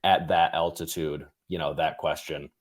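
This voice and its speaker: A man with a low-pitched voice hosts an interview. He talks casually and haltingly, building his thoughts aloud in short fragments. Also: flat delivery. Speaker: interview host.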